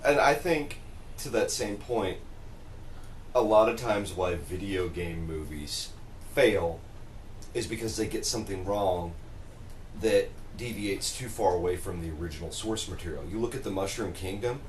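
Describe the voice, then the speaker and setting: A man's voice: low voice, moderate pace. A man co-hosting a podcast in casual conversation, giving his take on the topic. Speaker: podcast co-host. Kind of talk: casual chat. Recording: echoey room with background noise.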